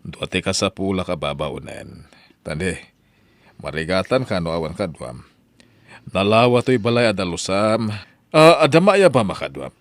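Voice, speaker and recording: A man's voice: sits low, sing-song, moderate pace, long pauses, no echo. A man playing a well-off, calculating employer in a drama, speaking with authority. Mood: matter-of-fact. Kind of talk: Ilocano radio-style drama acting.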